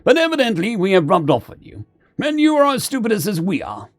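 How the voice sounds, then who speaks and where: A man's voice: high-pitched, wildly swooping pitch, fairly quick, pitched above the performer's own voice, heavily acted. A man voicing a formidable, blunt marine commander in a military sci-fi story, clipped and commanding. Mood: sardonic.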